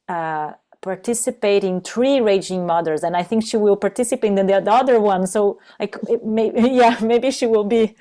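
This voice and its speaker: A woman whose first language is Portuguese, a guest speaking in casual conversation, halting and searching for her words with many restarts. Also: mid-range voice, mellow. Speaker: guest, training facilitator.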